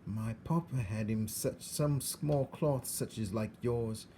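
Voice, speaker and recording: A man voicing a naive, excitable young servant girl in a storybook, exclaiming in loud, rustic, ungrammatical speech. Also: medium pitch, takes it slowly, slight background noise. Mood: amazed. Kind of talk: storybook character voice.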